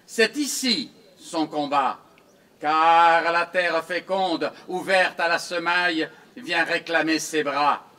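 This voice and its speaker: A man reciting his own commemorative poem in a solemn, theatrical, declamatory style with measured phrasing. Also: on the high side, pushed and bright.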